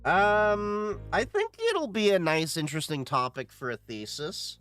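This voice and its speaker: A man's voice: sits high, expressive, measured pace, a bit bright, faint music behind. A man hosting a casual livestream, reading chat questions aloud and giving opinionated answers.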